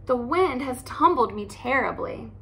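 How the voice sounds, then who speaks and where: A woman's voice: a little high, big pitch swings, unhurried, gravelly, heavily acted. A woman voicing a polite snowy owl in a picture book, asking for shelter in a flowery, raised voice. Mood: pleading. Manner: flowery, pleading courtesy.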